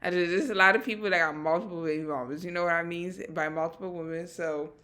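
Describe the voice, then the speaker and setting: A woman's voice: fairly low pitch, fairly even intonation, fast, pushed and bright. A woman co-hosting a casual reaction chat, laid-back and slangy, often checking in with "you know what I mean". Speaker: co-host.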